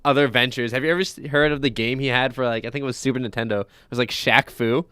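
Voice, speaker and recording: Male guest, relaxed jokester, smooth voice, dry room. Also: mid-range voice, quick pace, dry recording. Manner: joking, laid-back. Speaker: guest, hockey show host. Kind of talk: casual chat. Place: studio.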